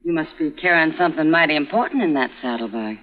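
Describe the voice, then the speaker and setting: A woman's voice: deep voice, lively intonation, quick pace. An actress playing a weary, wistful frontier woman in a 1950s radio drama, speaking wryly. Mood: concerned. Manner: wistful, wry. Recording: muffled old radio broadcast with hiss.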